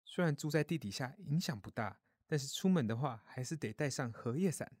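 A man voicing a kindly grown-up mole in a children's audio story, gentle, caring and reassuring. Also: medium pitch, expressive, fairly quick, no room sound, clean studio sound, natural voice. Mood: hospitable.

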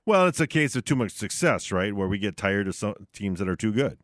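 A man's voice: fairly low pitch, sing-song, fairly quick, fairly bright tone, dry recording. A man co-hosting a casual sports radio show from the studio, talking conversationally with hedges and asides.

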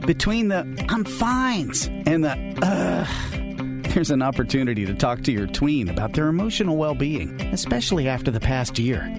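A man reading a scripted health advertisement in a conversational, expressive way. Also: on the high side, moderate pace, music in the background. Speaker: commercial announcer. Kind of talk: scripted public-health ad read.

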